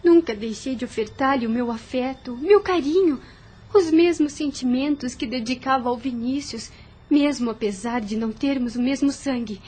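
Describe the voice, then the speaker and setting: An actress with a Brazilian accent playing an earnest, anxious young mistress of a plantation house in an audio drama. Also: female voice, high-pitched, normal speed, darkish tone. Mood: troubled, reflective. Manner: earnest, hesitant when uneasy.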